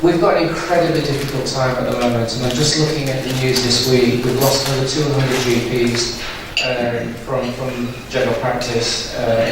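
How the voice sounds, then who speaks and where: A man chairing an event, introducing the guest speaker in an earnest, slightly halting way. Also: mid-range voice. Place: large dim hotel function room. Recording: echoey room from far off, noisy.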